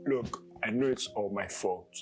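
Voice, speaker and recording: A man's voice: fairly low pitch, a little slow, soft background music, natural voice. A man voicing a remorseful husband who begs forgiveness, stammering and tearful. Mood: pleading.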